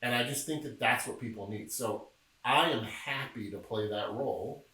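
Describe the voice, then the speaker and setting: A middle-aged man from Memphis, Tennessee, talking casually as a podcast guest and telling stories with a joking, relaxed manner. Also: medium pitch, moderate pace. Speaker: podcast guest, film producer. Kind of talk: casual interview chat. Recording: echoey room, distant mic.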